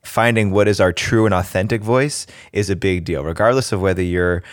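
A man's voice: sits low, a little fast, no room sound, studio quality. A man from New Jersey hosting a podcast interview, upbeat and brotherly, laughing often. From New Jersey, USA. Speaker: podcast host.

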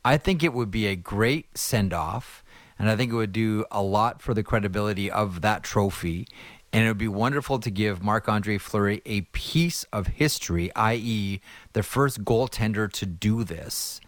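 A man's voice: fairly low pitch, moderate pace, dry recording. A man with a nasal voice hosting a podcast, playful and enthusiastic in casual chat. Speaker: podcast host.

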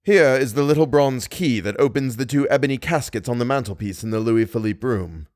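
A man voicing a mad masked villain in an audiobook, taunting and menacing in a deliberate way. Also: medium pitch, a little mellow, no room sound, clean studio sound, natural voice. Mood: coldly menacing. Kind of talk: dramatic audiobook character voice.

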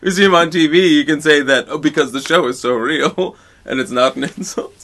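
A young man with a bright, forceful voice that sits a little higher than most men's. He laughs through his lines, riffing on improvised comedy bits with a friend. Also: a little fast. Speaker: podcast co-host.

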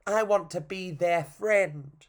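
A man's voice: high-pitched, slow, pitched above the performer's own voice. A man voicing an eager, naive young wooden puppet boy in a children's story. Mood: earnest pleading.

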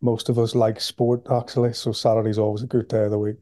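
A man in his forties with a Northern Irish accent, a professor being interviewed on a podcast, talking in a relaxed, steady way with frequent pauses and repeated words. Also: on the low side, no room sound, studio quality. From Belfast, Northern Ireland. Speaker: guest, sports nutrition professor.

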